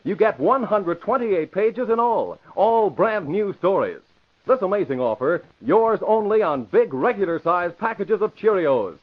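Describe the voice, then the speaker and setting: Vintage American male announcer: highish, declamatory, lively inflection, dull radio sound. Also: a little slow. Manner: emphatic, declamatory. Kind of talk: 1940s radio announcing, scripted. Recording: dull old radio recording.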